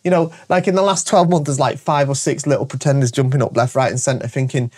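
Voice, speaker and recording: A man's voice: mid-range voice, fast. Stuffy-nosed man hosting. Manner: energetic and jokey, repeating "all right?" often. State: congested stuffy voice from a cold. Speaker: show host and shop owner. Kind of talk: casual promotional monologue to camera.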